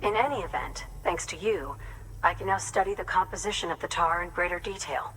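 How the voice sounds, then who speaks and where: An actress playing a studious, grateful scientist in a video game, speaking in a formal, measured, erudite way. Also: female voice, on the low side, fairly bright tone, slight background noise, poor audio. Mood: earnest, musing. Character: studious, grateful female scientist researching tar and extinction. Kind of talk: dramatic game dialogue, expository.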